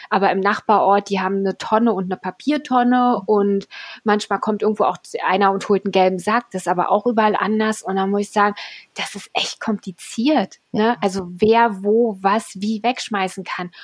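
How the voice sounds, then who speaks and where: A woman's voice: mid-range voice, husky, no room sound. A woman from Berlin co-hosting a podcast, talking in a casual, chatty way and often asking "ne?". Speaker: podcast co-host. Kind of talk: casual chat.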